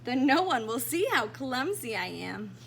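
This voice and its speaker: A woman's voice: on the high side, very animated, measured pace, creaky, a bit bright, a little hiss or hum, heavily acted. A woman voicing a clumsy, earnest young female fruit bat in a children's storybook, with childlike exclamations. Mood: determined.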